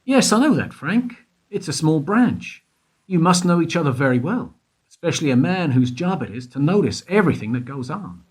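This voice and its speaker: A man's voice: fairly high pitch, sing-song, moderate pace, breathy edge. A man acting in a radio play as a wry investigator, questioning in a probing, insistent way. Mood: needling, insistent.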